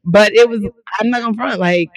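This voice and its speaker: A woman co-hosting a podcast in casual chat, hoarse and losing her voice, telling stories in lively slang. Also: mid-range voice, fairly quick, no echo, rough recording. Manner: slangy, animated storytelling. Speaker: podcast co-host.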